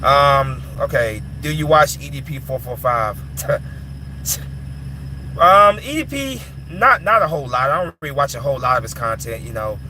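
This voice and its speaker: A man's voice: mid-range voice, expressive, moderate pace, sharp tone, a little hiss or hum. A man in his twenties answering chat questions in casual talk, often repeating and restarting his words. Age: 20s. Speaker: live stream host answering chat.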